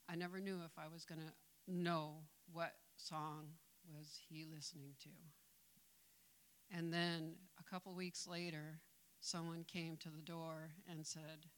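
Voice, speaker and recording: Slow, soft-spoken woman giving a testimony. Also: low voice, poor audio. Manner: soft-spoken, slow, tender, with small laughs. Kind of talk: church testimony. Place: church sanctuary.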